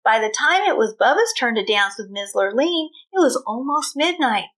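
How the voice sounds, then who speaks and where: A woman reading a children's storybook aloud in an expressive manner, as a teacher does for young children. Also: a little high, normal speed.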